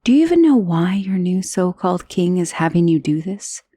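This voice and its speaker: A woman playing a powerful, self-assured female vampire, protective and possessive of a lover, in an intimate ASMR romance roleplay that often drops toward a breathy whisper. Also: fairly low pitch, sing-song, normal speed, mellow, no room sound. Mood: cold, commanding.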